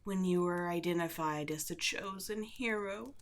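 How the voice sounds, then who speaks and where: A woman playing a seductive, condescending demon queen in a scripted ASMR roleplay, gloating at the listener and dropping at times into breathy whispers. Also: lowish voice, natural voice. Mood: smug, reminiscing. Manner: slow, taunting, condescending, drops into breathy whispers.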